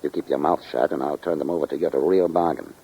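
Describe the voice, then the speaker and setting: An actor playing a tough, shrewd dealer in stolen goods in a 1940s radio crime drama, curt and slangy. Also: male voice, a bass voice, steady tone, fast, warm tone, slight background noise. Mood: sly, hushed dealing. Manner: curt, slangy, hard-boiled. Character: tough, shrewd male fence dealing in stolen diamonds. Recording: muffled, dull 1940s radio recording.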